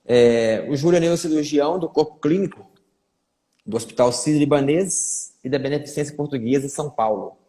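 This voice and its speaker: This is a man hosting a casual live stream with a fellow doctor. His voice is mellow and unhurried, and he keeps dropping in small conversational tags. The sound carries a long, echoing reverb. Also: fairly high pitch. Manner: chatty, repeats fillers like "né". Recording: echoey room with long reverb.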